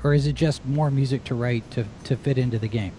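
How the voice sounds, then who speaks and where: A man's voice: medium pitch, steady tone, brisk, warm tone, a little hiss or hum. A man interviewing guests, asking long, searching questions full of restarts.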